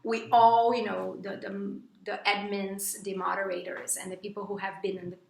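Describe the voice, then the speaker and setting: A woman's voice: normal speed, creaky. Chatty Brazilian woman, echoey room. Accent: Brazilian Portuguese. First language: Portuguese. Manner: chatty, full of fillers and restarts, bursts into high excited quotes. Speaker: English teacher and vlogger. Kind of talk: casual chat to camera.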